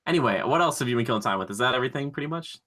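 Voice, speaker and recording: A man's voice: mid-range voice, expressive, rapid-fire, a bit bright. A man co-hosting a podcast, talking casually and conversationally with enthusiasm about films. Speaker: podcast co-host.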